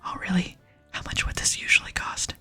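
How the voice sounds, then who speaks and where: A woman's voice: brisk, bright, forceful tone, soft background music, natural voice. A woman whispering the game dialogue of an eager, easily flustered female adventurer in ASMR style. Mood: curious.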